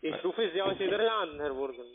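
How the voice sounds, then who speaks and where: A high-voiced man speaks with a foreign, non-native accent. He sells investments over a thin, tinny phone connection, stumbling politely through broken phrasing in a formal sales pitch. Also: animated, fast. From Slovakia. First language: not German. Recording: narrow-band, tinny phone line.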